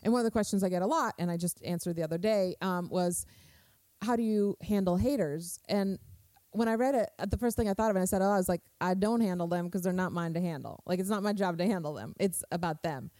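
A woman's voice: on the low side, quick pace, mellow. A woman in her forties from Croatia with a Croatian accent, chatting candidly on a podcast and laughing at herself. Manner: candid, laughing, self-deprecating. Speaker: podcast guest, social media worker. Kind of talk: casual podcast chat.

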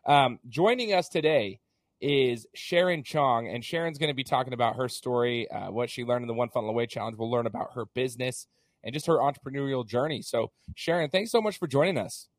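A man hosting a podcast interview in a casual, chatty way, hoarse as he gets over an illness. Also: medium pitch, brisk, no echo. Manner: chatty, many fillers and restarts. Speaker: podcast host.

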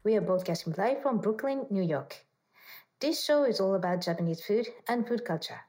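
A woman hosts a podcast interview in a friendly, polite way. Her voice sits at a middle pitch, and her phrasing is slightly non-native. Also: animated, normal speed, darkish tone. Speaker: host.

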